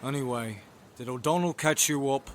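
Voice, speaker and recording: An actor playing a curt, impatient detective inspector in an audio drama, brusque and authoritative. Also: male voice, medium pitch, moderate pace, background noise, lightly acted. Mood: stern.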